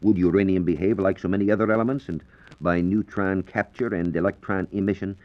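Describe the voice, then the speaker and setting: A man's voice: low voice, steady tone, fairly quick. A man with an American accent giving a formal, explanatory scripted science lecture as a 1940s radio reporter. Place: radio studio. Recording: dull, lo-fi 1940s radio recording.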